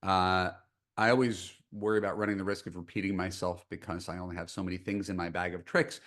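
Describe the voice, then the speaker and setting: A man hosting a coaching call as a dating coach, speaking in measured, deliberate phrases. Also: low-pitched, level tone, fairly bright tone. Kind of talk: podcast intro and coaching call.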